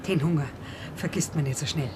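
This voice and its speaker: A woman's voice: low-pitched, brisk, background noise, close to an everyday voice. An actress playing a hungry, indignant postwar woman who grumbles plaintively in a German radio play. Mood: awed, plaintive.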